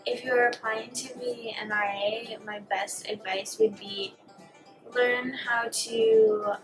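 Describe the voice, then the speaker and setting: A woman's voice: a little high, fairly even intonation, slow pace, music in the background, poor audio. A young woman from Central New York, a resident assistant, speaking in a friendly, slightly hesitant way. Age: young adult. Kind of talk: casual interview answers. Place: indoors before a plain grey backdrop.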